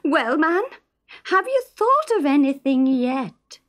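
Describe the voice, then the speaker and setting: A fretful wife from a children's storybook played by a woman. She nags anxiously in a raised pitch with lively swings. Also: slow pace, exaggerated voice. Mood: nagging. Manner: anxious, nagging. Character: fretful working-class mother and wife.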